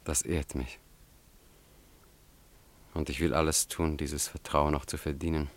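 An actor playing a polite, persuasive young student who serves as a bodyguard in a German radio drama, deferential and measured. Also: male voice, extremely low pitch, little pitch movement. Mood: reassuring. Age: young adult.

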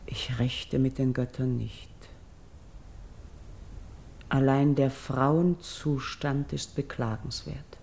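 A noble exiled priestess from a classical radio drama, mourning with solemn devotion. Her voice is dark and mellow with a heavy creak. She declaims her verse very slowly, sometimes sinking into a hush. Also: very deep voice, steady tone, slight background noise, exaggerated voice. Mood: lamenting, resigned. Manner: solemn, declamatory, measured, at times hushed. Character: grieving exiled royal priestess, noble and devout.

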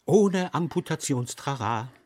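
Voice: mid-range voice, expressive, unhurried, a little mellow, no room sound, clean studio sound. Eine Stimme spielt in einem absurden Hörspiel eine verdatterte, zögernde Figur, die stammelnd Unsinnswörter hervorbringt. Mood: flustered. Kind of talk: experimental absurdist German radio play, sound-poetry recitation.

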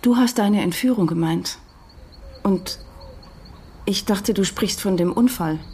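Distraught wife, dark husky voice, halting, close radio drama dialogue. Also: female voice, medium pitch. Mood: wounded, accusing. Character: distraught wife confronting her long-lost husband.

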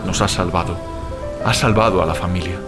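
An actor in an audio drama playing a weary, questioning brother. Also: male voice, deep voice, brisk, lightly acted. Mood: weary, grateful. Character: Rubén, weary questioning brother seeking answers. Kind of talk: Spanish audio fiction drama acting. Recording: very loud music and rain behind.

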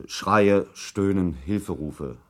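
An actor in a German radio documentary drama playing a defensive British ship's officer testifying at an inquiry, answering firmly, formally and in clipped phrases with some hesitation. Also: male voice, low-pitched, slow pace. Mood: controlled, formal.

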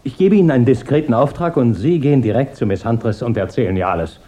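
An actor playing a stern, indignant wealthy client in a German radio drama, imperious and reproachful. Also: male voice, mid-range voice, fast, warm tone, lightly acted.

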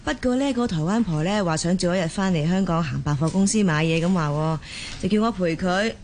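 Actress playing an expressive Cantonese working mother. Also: lowish voice, a little fast, a little hiss or hum, natural voice. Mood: chatty, weary. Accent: Hong Kong Cantonese. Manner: expressive, colloquial. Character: busy working mother, chatty, easily exasperated office woman. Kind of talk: Cantonese radio drama acting.

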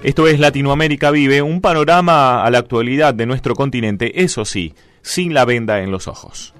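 A man hosting a radio news talk show, measured and announcer-like with dry teasing. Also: medium pitch, music in the background. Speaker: radio host. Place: radio studio.

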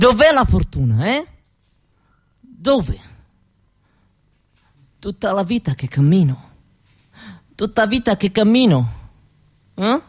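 A woman's voice: medium pitch, very animated, slow, pauses often, a little mellow, husky, faint music behind, full character voice. An actress playing a proud Roma mother from Romania in a conversational stage monologue, with an Eastern European accent and broken grammar. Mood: weary, plaintive. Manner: broken foreign-speaker grammar, conversational, rhetorical tags. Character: proud, cheerful Roma woman from Romania, mother, chatting hospitably. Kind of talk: theatrical monologue drawn from interviews.

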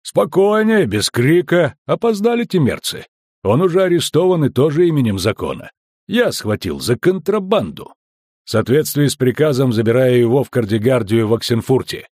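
A man voicing a sly, unhurried customs officer who speaks slowly and clearly, with folksy mockery, in an audiobook. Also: mid-range voice, big pitch swings, a little mellow, dry recording, clean studio sound. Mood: calming. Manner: slow, clear, drawling, mockingly folksy.

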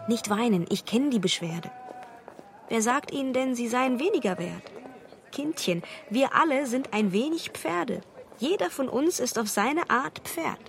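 A tender, consoling poet, recites verse for radio at a very slow, measured pace. The delivery is expressive, with faint music underneath. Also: female voice, fairly high pitch, heavily acted.

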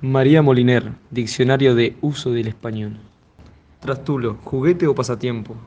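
A man's voice: mid-range voice, normal speed, background noise. A man reading a scripted dictionary announcement as an announcer. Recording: dull sound over loud music.

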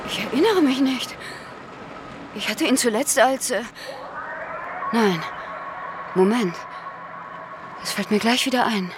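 A troubled, halting middle-aged actress. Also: female voice, a little high, expressive, background noise. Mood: bewildered. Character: troubled actress Ellie, haunted by family loss, stubbornly self-reliant. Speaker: actress in a radio crime drama. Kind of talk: German radio play acting.

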